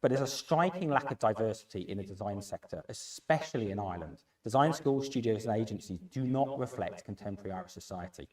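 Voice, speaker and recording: A man's voice: on the low side, lively intonation, fast talker, few pauses, a little mellow. A man chairs a panel, reading a formal opening from the front of a lecture hall. His voice sits well back from the microphone, and the hall adds a roomy echo around it. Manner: formal, read-out phrasing. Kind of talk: scripted introduction. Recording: roomy hall sound, mic at a distance.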